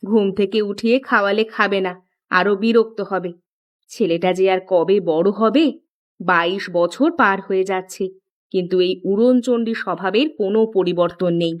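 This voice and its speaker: A woman's voice: mid-range voice, normal speed, lo-fi sound, natural voice. A woman voicing a middle-aged village mother in a cartoon, fretful but practical and plain-spoken. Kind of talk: scripted Bengali cartoon dialogue.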